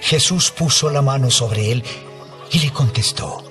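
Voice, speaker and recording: A man narrating a dramatized Bible story as scripted narration, in a measured storytelling manner. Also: mid-range voice, a bit bright, soft background music.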